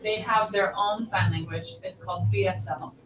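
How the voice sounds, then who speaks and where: A woman's voice: mid-range voice, fairly even intonation, moderate pace. A middle-aged deaf woman teaching a sign language class, patiently explaining step by step. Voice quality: deaf speaker's voice. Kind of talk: teaching a class, answering questions. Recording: echoey hall from far off, noisy.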